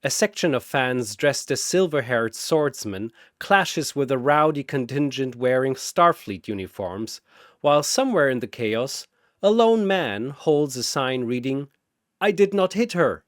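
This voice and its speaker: Slow, theatrical male fantasy narrator. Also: mid-range voice, lively intonation, dry recording, clean studio sound. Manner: theatrical, deliberate phrasing. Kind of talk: dramatic scripted fantasy battle narration.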